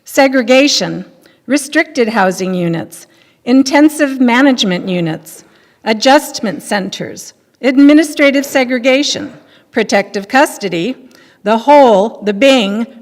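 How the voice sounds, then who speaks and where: An older woman giving a scripted public reading as part of a readers theater. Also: on the high side. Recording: echoey hall reverb.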